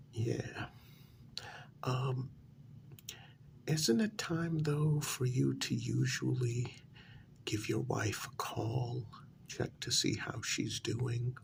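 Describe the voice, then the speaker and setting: A man plays an unhinged, condescending male patient in an asylum ASMR role-play. His soft voice is thick with creaky fry and often sinks to a half-whisper. He talks extremely slowly and haltingly, in a casual, matter-of-fact way, over a faint background noise. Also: medium pitch, full character voice. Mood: guarded, patient.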